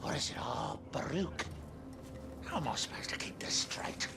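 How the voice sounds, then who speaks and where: An actor playing a muddled, muttering orc underling in a video game, with a gravelly voice. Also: male voice, medium pitch, big pitch swings, moderate pace, heavy background noise. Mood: confused, muttering. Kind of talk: video game cutscene acting.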